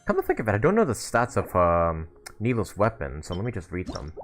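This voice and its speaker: Lively low-voiced man livestreaming a game. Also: a little fast. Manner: rambling, thinking aloud, hedging. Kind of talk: casual livestream chat while playing a game. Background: game music.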